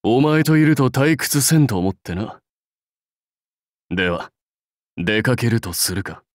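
A man's voice: mid-range voice, expressive, measured pace, dry recording, studio quality, natural voice. Man acting as proud, brusque samurai warlord. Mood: confident, dry. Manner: curt, commanding, self-assured. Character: proud, brusque warlord rider devoted to his clan. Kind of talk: Japanese mobile game character lines.

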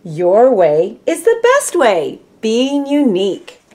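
A woman's voice: fairly high pitch, huge pitch swings, slow, dark tone. A middle-aged woman with an American Midwestern accent, speaking expressively in the style of a children's storyteller. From Michigan, United States. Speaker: volunteer storybook reader. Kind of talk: children's read-aloud storytelling. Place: small room at home, indoors.

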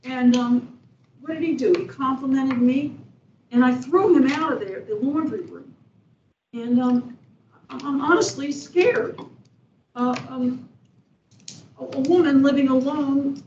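Soft-spoken woman, halting, distant echoey audio. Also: high voice, dull audio, slight background noise. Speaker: tenant giving public comment. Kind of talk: public comment at a meeting.

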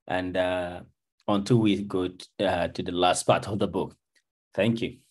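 Low-voiced Nigerian man on a video call. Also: measured pace. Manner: relaxed, with frequent ums and you knows. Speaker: book club facilitator. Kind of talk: casual explanatory talk on a video call. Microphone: video call webcam.

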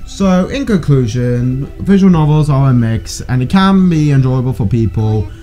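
A man's voice: medium pitch, expressive, normal speed, warm tone, soft background music. A young adult man chatting casually as the host of a video show. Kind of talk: casual chat.

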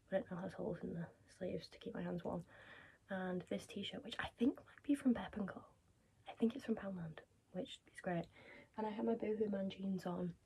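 A woman's voice: mid-range voice, vocal fry, darkish tone, husky. A young woman vlogging from home in a chatty, rambling way, often sinking to a near-whisper. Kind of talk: casual vlog chat. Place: home living room, indoors. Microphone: phone camera held close.

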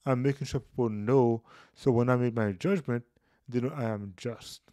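Man, 30s, Bible teacher, casual explainer, mid pitch, dry booth sound. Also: moderate pace, no echo. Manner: explanatory, animated hand gestures. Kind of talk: casual Bible study video. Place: small room indoors. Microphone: studio mic on boom, headphones.

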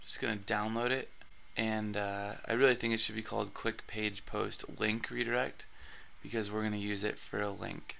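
A man hosting a screen-recorded blogging tutorial, his voice raspy from allergies, talking casually with plenty of fillers and restarts. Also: fairly low pitch, little pitch movement, moderate pace, pushed and bright.

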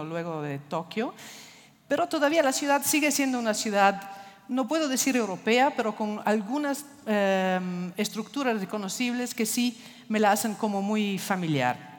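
Middle-aged italian lady lecturing at a desk, lots of ums, big hall echo. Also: fairly high pitch. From Italy. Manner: hesitant, many fillers, searching for words. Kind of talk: conference lecture with slides. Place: large dark auditorium.

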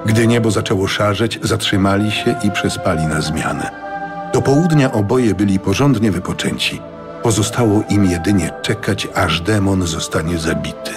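A man's voice: lowish voice, normal speed. A man giving a scripted, dramatic narration of a fantasy audiobook. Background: music.

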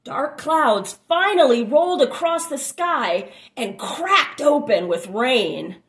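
A woman reads a picture book aloud to children, with small asides. Her voice is fairly high and very animated in pitch. She takes her time, drawing out phrases for dramatic effect. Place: small room at home, indoors.

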